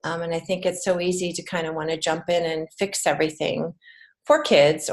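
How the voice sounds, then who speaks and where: An American woman speaking as a podcast guest in a conversational way, earnest and encouraging, pausing to reflect as she goes. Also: low voice, creaky. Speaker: guest, children's book author and writing teacher.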